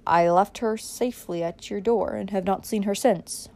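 A woman's voice: mid-range voice, normal speed, dark tone, natural voice. Polite, earnest young man for a storybook read by a woman, restrained, formal, a touch hesitant. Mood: forced calm. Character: earnest, self-controlled young man, polite under accusation.

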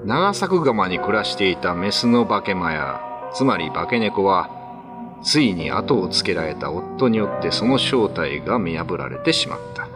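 A man's voice: deep voice, very animated, a little slow. A man giving dramatic scripted narration of a classical ghost story as a radio drama. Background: background music.

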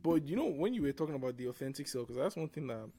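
A man's voice: medium pitch, expressive, racing, mellow. A young man from Nigeria with a Nigerian accent, co-hosting a casual faith-based podcast, reflective and often restarting his words. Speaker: podcast co-host.

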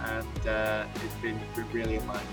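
A man's voice: lowish voice, monotone, brisk, over music. A man hosting a podcast interview, asking questions while stumbling and repeating words. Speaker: podcast host. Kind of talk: casual interview.

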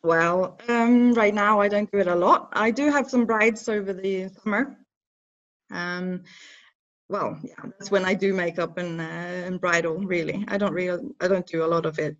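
A Norwegian woman in her thirties, with an accent mixing Norwegian and Bristol English, speaking haltingly as an interview guest and laughing often. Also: medium pitch. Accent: Norwegian mixed with Bristol English. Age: 30s. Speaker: hair and makeup educator. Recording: dull lo-fi recording.

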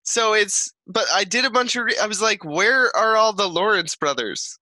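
A man's voice: high voice, normal speed, sharp tone, dry recording. A man hosting a casual podcast interview, upbeat and eager to relate to his guest. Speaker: podcast host.

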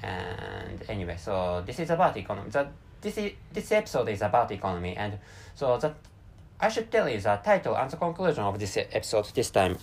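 A man's voice: fairly low pitch, big pitch swings, a little fast, creaky, slight background noise. A man with a Japanese accent, a Japanese native speaker, hosting a solo talk show in English with many restarts and repeated words. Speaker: podcast host.

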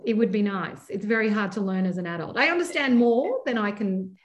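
An Australian woman in her forties, a podcast guest chatting casually, quick to joke and laugh at herself. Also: medium pitch. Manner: joking, self-deprecating, quick to laugh. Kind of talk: casual chat.

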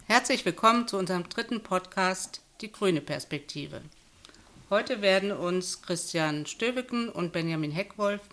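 Female podcast host, lowish voice, friendly and organized interviewer. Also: a bit bright. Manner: friendly, structured questioning. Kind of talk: moderating a local politics podcast.

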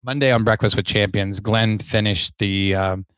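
A man's voice: fairly low pitch, fairly even intonation, moderate pace, fairly bright tone, smooth, no echo. A man hosting a podcast interview, talkative and enthusiastic, rambling a little as he sets up questions. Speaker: podcast host. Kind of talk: casual interview chat.

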